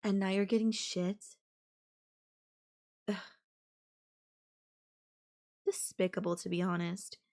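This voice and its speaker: A woman playing a possessive, doting young yandere girlfriend in an intimate audio roleplay, speaking coyly and affectionately with lingering pauses. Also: mid-range voice, fairly even intonation, a little mellow, close to an everyday voice. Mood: protective, scornful.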